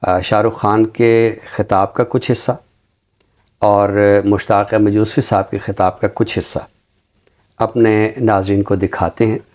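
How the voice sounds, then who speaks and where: A man with a fairly low voice discusses as an academic guest. His phrasing is formal and measured, with deliberate pauses between short phrases. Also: level tone, darkish tone.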